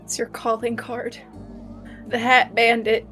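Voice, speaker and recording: A woman's voice: a little high, little pitch movement, measured pace, fairly bright tone, soft background music. A woman playing a tabletop roleplaying game, chatting casually.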